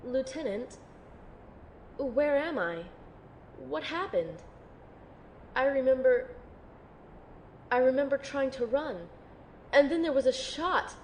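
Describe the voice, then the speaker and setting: A woman playing a wounded, frightened young woman in an amateur audio drama, speaking haltingly, weary and vulnerable. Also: sits high, animated, darkish tone, slight background noise. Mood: confused, shaken. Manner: halting, hesitant delivery. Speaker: voice actor playing narrator and lead character.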